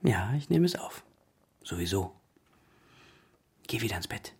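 A man playing a tired composer father, gruff but tender, with dry, laconic delivery in a German radio play for children. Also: lowish voice, sing-song, a little slow, a little mellow, exaggerated voice.

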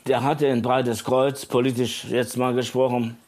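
A man's voice: medium pitch, little pitch movement, measured pace, darkish tone. An older man telling anecdotes from memory in an interview, as a former radio editor. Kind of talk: anecdotal recollection.

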